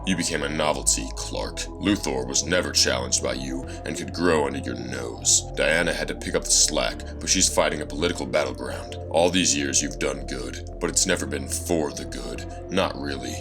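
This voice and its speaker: A bitter, vengeful vigilante acted by a man speaks in an extremely deep voice, stern and accusing. It is dramatic audio-story acting, laid over loud music. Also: moderate pace, hardly any pauses. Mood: bitter scolding.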